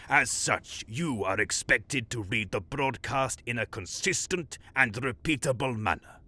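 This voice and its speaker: An actor playing a stern, pompous studio boss in an audio drama, speaking formally and with intimidation. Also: male voice, mid-range voice, bright, forceful tone, husky, full character voice. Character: stern, officious, intimidating male studio boss of propaganda broadcaster.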